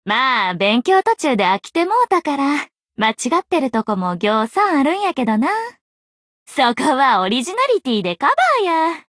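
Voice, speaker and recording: Lilting, high-pitched young Kansai journalist. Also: female voice, sing-song, moderate pace, no echo, clean studio sound, heavily acted. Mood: teasing, coquettish. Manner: soft, coquettish, lilting dialect with playful teasing. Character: teasing, breezy young female journalist and playful liar. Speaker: game voice actor. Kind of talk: Japanese anime game voice acting.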